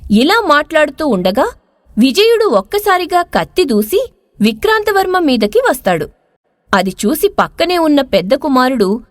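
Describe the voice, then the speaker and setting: An expressive woman narrates a scripted moral tale. Her voice is high and very lively in intonation, with a dry, close sound. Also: no room sound. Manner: expressive storytelling. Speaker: story narrator.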